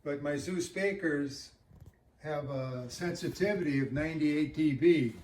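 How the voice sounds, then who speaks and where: An older man chatting casually in an interview, explaining things in a halting, thoughtful way. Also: on the high side, a little mellow, a little hiss or hum. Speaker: interview guest, audiophile hobbyist. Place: indoors at home listening room. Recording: roomy, echoey home recording.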